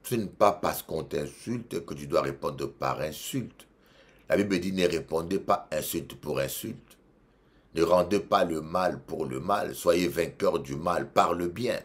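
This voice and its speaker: Congolese-accented male bishop, low voice, emphatic sermon delivery with repeated lines. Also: measured pace. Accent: Congolese French. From Democratic Republic of the Congo. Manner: emphatic, swings between loud exhortation and quiet asides, repeats phrases. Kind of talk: preaching sermon.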